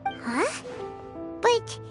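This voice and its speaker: A woman voicing a small, naive, puzzled child in a video game, speaking in a hesitant, halting way. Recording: faint music behind the voice.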